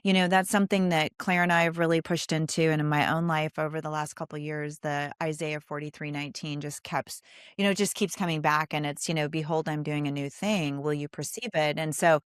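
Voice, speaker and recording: A woman with a lowish, smooth voice hosts a conversational interview. She is earnest and affirming and lets her sentences run long, in a very dry close recording. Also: fairly even intonation, fairly quick, no room sound. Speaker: interview host. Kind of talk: conversational faith-based interview.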